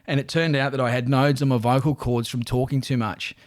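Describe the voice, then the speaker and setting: A middle-aged Australian man in his forties, a stadium announcer chatting as a podcast guest. His voice sits at an ordinary middle pitch, and he rambles in a friendly way, often restarting his sentences. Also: fairly even intonation, a little fast. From Newcastle, Australia. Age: 40s. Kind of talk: casual podcast interview.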